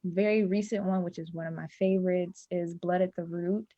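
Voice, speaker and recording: A woman's voice: lowish voice, level tone, normal speed, dark tone, no echo, highs cut off. A woman, casually introducing herself as a stage actor. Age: 20s.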